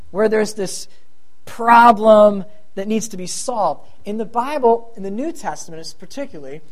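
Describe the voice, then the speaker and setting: A middle-aged man preaches with a thin, light voice. He talks to his congregation in a chatty, self-deprecating way, wandering into asides before returning to the point. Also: sits high. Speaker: pastor. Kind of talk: sermon.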